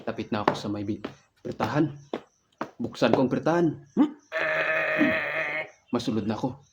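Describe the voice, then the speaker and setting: A man performs a homemade comic radio drama with a theatrical, exaggerated delivery. He speaks at an average male pitch and announces each of his character's actions as he goes. The recording is clearly noisy, with clicks. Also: normal speed, muffled recording. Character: Oscar, a man narrating his morning aloud.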